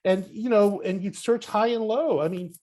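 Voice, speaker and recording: A man's voice: high voice, normal speed, a little mellow. A man talking as a guest on a podcast, a book critic who rambles with lots of "you know" and restarts. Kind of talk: casual podcast conversation.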